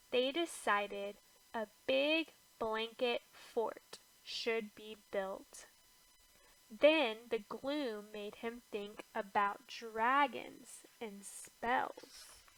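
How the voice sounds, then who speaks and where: A woman with a bright, fairly high voice reads a children's storybook aloud. She takes her time, shaping the rhyming verse with a measured rhythm and expressive phrasing. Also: low-quality recording. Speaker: storybook reader. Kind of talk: scripted read-aloud of a rhyming children's picture book.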